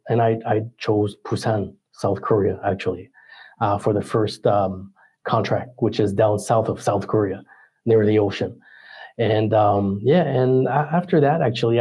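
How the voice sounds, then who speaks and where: A man in his forties with a French-Canadian accent, a podcast guest telling his story in a relaxed, casual way with many ums and pauses. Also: medium pitch, husky. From Ottawa, Canada. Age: 40s.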